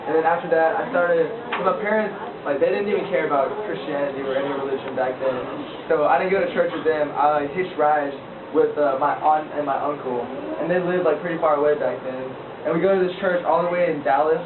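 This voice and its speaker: A teenage boy casually sharing his faith testimony with a group of listeners, rambling with lots of filler words and asking them questions. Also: fairly quick. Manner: rambling with frequent likes, asks the listeners questions. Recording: echoey, distant, tinny low-fi recording with a noisy crowd.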